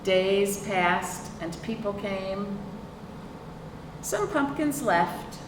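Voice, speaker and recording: A woman reads a picture book to young children at an unhurried pace, with lively swings in pitch. She is expressive and turns to her listeners with questions. The sound is roomy, picked up from a distance. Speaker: librarian hosting a children's storytime. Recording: roomy, distant microphone, clear background noise.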